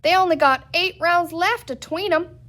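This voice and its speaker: A woman voicing angry little green men in a storybook, shouting old-west cowboy slang. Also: very high voice, moderate pace, pitched above the performer's own voice. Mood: rallying.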